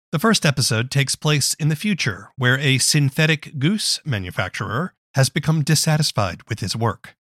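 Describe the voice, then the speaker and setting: A man's voice: medium pitch, fairly bright tone, dry recording. Guy host doing scripted feature narration, mid-range voice, really dry studio sound. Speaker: interviewer and narrator.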